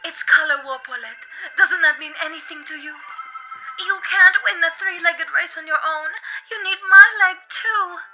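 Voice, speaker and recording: A woman's voice: extremely high pitch, a little slow, pushed and bright, soft background music, full character voice. A woman voicing an overwrought teenage heroine of a camp romance show, delivering her lines melodramatically. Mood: melodramatic. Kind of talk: fan comic dub.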